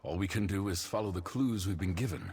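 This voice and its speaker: A man voicing a dignified, weary older counselor in a fantasy video game, speaking gravely and in measured phrases. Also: deep voice, darkish tone. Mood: grave, resolute.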